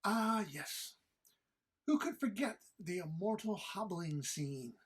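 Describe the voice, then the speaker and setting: Smug gentleman devil, played by a woman: husky, formal, deliberate, archly menacing, with animated pitch. Audio drama. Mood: dry disdain. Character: Old Scratch, suave devilish male fiend, smugly menacing. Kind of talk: audio drama script read-through.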